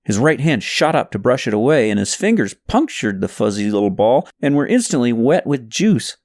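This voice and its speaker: A man narrates a story in a medium-pitched voice. His reading is scripted and expressive, with a dramatic storyteller's delivery. The sound is dry, with hardly any room in it. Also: normal speed, no echo. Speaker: audiobook narrator reading own novel. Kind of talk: scripted narration of a parable novel.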